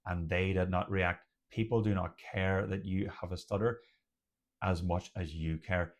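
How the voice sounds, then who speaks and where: A young Irish man, a doctor who hosts his own channel, talking slowly and openly with a stutter and deliberate pauses. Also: low-pitched. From Ireland. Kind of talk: personal talk to camera.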